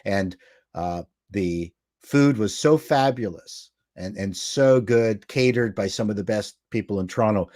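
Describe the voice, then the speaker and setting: A man chatting casually as a panelist, halting and repeating words as he gets going. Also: fairly low pitch, darkish tone, dry recording, clean studio sound. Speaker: panelist on a pop culture show. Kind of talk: casual chat.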